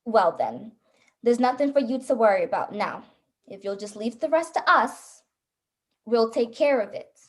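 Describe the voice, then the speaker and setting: Brusque, weary police officer, played by a woman, highish voice. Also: big pitch swings, moderate pace. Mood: dismissive, placating. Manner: patronizing, placating. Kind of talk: suspense radio play acting.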